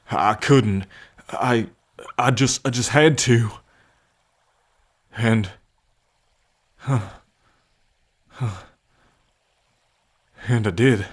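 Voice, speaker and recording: A man playing a gentle, devoted bull man with a violent past in an ASMR roleplay, speaking earnestly and tenderly with halting pauses. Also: mid-range voice, lightly acted. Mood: stunned, halting. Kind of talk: ASMR roleplay addressed to the listener.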